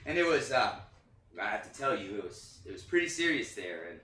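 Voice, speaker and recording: A man playing an earnest, flustered children's TV puppeteer in a staged play reading, speaking haltingly. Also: mid-range voice, big pitch swings, sharp tone. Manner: halting, earnest, nervous chuckles. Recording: echoey, distant, faintly noisy reading audio.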